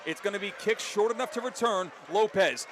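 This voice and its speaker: A man calling live high school football as a radio play-by-play announcer, projecting with emphatic, excitable delivery. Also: fairly quick. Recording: stadium crowd noise behind broadcast commentary. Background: loud outdoor stadium crowd and ambience.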